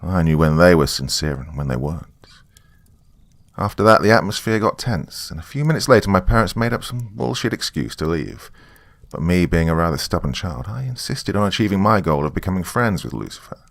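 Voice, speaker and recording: A man's voice: deep voice, creaky, no room sound. A man narrating a story in the first person.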